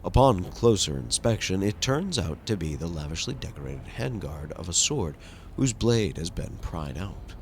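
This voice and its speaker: A man narrating a video game playthrough in a measured, dramatic storytelling way. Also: low-pitched, big pitch swings, slight background noise. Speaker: host and voice actor of a game playthrough.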